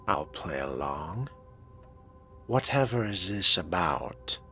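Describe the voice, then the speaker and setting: A man voicing a deep, slow, dry vampire. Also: very animated, pushed and bright, a little hiss or hum, heavily acted. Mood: composed. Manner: formal, dry, deliberate. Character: measured, dry, urbane male vampire fledgling. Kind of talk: role-play voicing over a video game.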